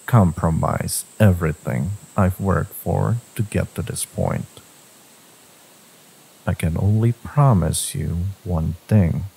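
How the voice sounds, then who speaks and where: A man voicing a brooding, devoted fantasy villain in a romance audio roleplay, speaking deliberately in a low, gravelly, husky voice. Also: dark tone, exaggerated voice, poor audio. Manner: slow, deliberate, with pauses and sighs. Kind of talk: dramatic second-person romance audio roleplay.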